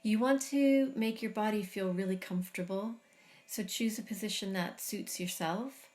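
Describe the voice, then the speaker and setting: A middle-aged woman guiding a body scan meditation, speaking slowly and soothingly with long pauses. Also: mid-range voice. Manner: slow, soft, soothing guidance with long pauses. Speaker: meditation teacher.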